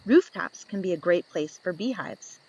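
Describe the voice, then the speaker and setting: A woman reading a picture book aloud to young children, expressive and instructive, putting questions to her listeners and answering them. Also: lowish voice, moderate pace, slightly rough, poor audio.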